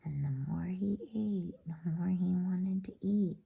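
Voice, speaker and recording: A woman narrating a bedtime story in ASMR style, whispering in a soft, soothing, unhurried way. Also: fairly low pitch, gravelly, slightly rough, phone-quality sound.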